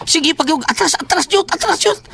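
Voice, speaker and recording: A man playing a frantic, whiny, comic coward in a horror audio drama, excitable and full of exclamations. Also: very high-pitched, fairly quick, creaky, full character voice. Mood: grumbling. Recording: noisy amateur audio drama recording.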